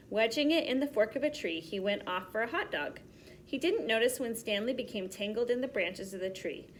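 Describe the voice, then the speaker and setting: A woman reading a children's story aloud expressively, pausing to explain things simply to young listeners. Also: medium pitch, fairly bright tone, a little hiss or hum, rough recording.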